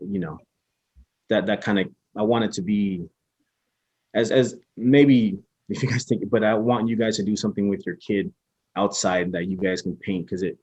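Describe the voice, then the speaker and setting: A low-pitched man with a husky, slightly rough voice talks in a relaxed, meandering way, often stopping to restart his sentences. He sounds like an easygoing guest in a casual interview. The recording is close and dry, with little room sound. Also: dry recording. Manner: halting, laid-back, full of restarts and fillers. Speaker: interview guest, small business owner.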